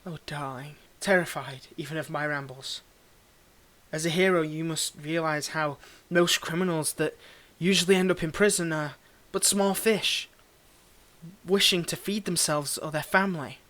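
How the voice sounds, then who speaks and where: Man playing a condescending, higher-voiced male villain. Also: fairly even intonation, normal speed. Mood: impassioned, conspiratorial. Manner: condescending, theatrical, swinging between ranting and hushed murmurs. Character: cold, domineering, tsundere villain, ranting and secretly fond. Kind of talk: scripted audio roleplay monologue, intimate address.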